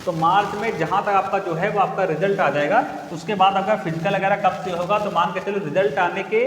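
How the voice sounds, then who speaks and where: Echoey, high-voiced Hindi exam tutor. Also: male voice, fairly even intonation, a little fast, a bit bright, slight background noise. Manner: repeats points as questions to the class. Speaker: exam-prep teacher. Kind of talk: repetitive classroom-style explanation. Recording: echoey room with long reverb, faint ambience.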